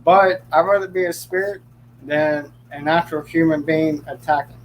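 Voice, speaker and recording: A young man with a slightly high voice hosts a relaxed livestream, joking with viewers and chuckling between lines. A faint hum runs under the audio. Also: moderate pace, rough recording. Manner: joking, laughs often. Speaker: livestream host. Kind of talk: casual livestream chat.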